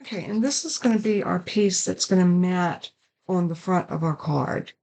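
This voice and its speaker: Hoarse, raspy older woman. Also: lowish voice, moderate pace, a little mellow, low-quality recording. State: hoarse from a sinus infection. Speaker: card-making channel host. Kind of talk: casual craft tutorial. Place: craft desk, indoors.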